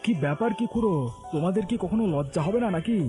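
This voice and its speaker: A man's voice: high voice, lively intonation, fast talker, over music. A man playing a hot-headed, quarrelsome village man in a cartoon, with a taunting, scolding manner. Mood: scornful anger. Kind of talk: Bengali cartoon dialogue.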